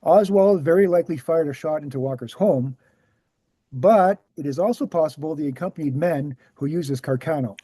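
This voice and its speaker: A man reading the scripted part of a measured author who answers questions at a talk, deliberate and explanatory. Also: a little high, warm tone, husky, no echo. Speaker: actor in a screenplay table read. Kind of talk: scripted dialogue read aloud.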